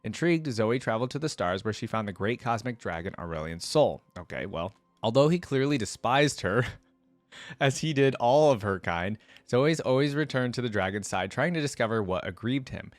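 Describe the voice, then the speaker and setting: A man with a mid-pitched voice hosts a reaction video. He reads lore aloud like a storyteller, slipping into casual asides of his own. The sound is close and dry, with hardly any room to it. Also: lively intonation, moderate pace, no room sound.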